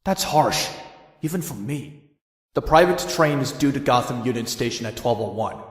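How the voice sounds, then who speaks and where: An actor playing a cocky, sardonic young vigilante in a motion comic, with wry dialogue and first-person narration. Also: male voice, medium pitch, lively intonation, moderate pace, echoey room, big-room sound, lightly acted. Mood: wry, businesslike. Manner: wry, wisecracking, dry asides.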